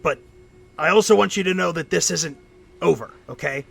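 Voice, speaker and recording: A man playing a loyal, worried mechanic in an audio drama, speaking earnestly and haltingly. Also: fairly high pitch, vocal fry, a bit bright, full character voice. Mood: pleading, worried. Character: worried, loyal male mechanic friend, irreligious and wry.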